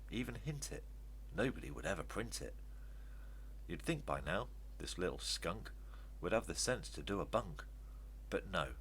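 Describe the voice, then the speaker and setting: An older man reads rhyming verse aloud as children's storytelling, in an expressive, emphatic way. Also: sits low. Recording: steady background noise with hum.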